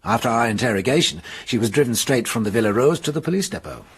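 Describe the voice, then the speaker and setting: An actor playing a shrewd middle-aged police detective, laying out his reasoning in a measured way. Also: mid-range voice, animated, vocal fry, breathy edge, full character voice. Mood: explaining. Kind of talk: radio drama acting.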